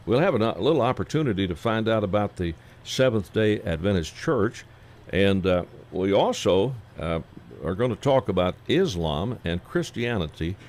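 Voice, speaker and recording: A man hosting a casual radio interview, folksy and halting, with many fillers. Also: lowish voice. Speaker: radio host.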